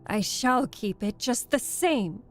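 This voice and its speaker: A woman's voice: fairly high pitch, lively intonation, slow, faint music behind, pitched above the performer's own voice. A woman voicing a wicked old witch in a dramatic storybook reading. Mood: scornful, gloating. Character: wicked old witch, scornful, wailing as she melts.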